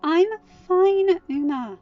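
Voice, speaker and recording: A woman's voice: extremely high pitch, lively intonation, takes it slowly, pitched above the performer's own voice, exaggerated voice. A woman voicing an irritable, stubborn teenage girl in an audiobook, defensive, whiny and exasperated. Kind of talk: acted audiobook dialogue. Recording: dull lo-fi home recording, music underneath.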